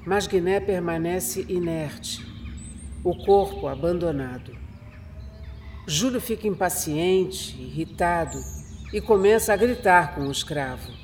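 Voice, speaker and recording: Slow, dramatic male horror narrator. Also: high-pitched, slight background noise. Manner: measured, dramatic. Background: music.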